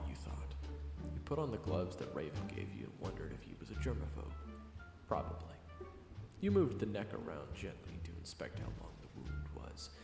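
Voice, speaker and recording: A man's voice: sits low, creaky. A man reading scripted second-person story narration for an audio roleplay. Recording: roomy hall reverb, music underneath. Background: background music.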